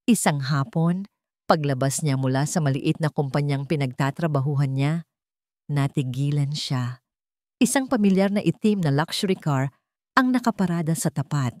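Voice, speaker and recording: Low-voiced woman narrating a romantic drama. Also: moderate pace, a little mellow, no echo, clean studio sound. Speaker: story narrator.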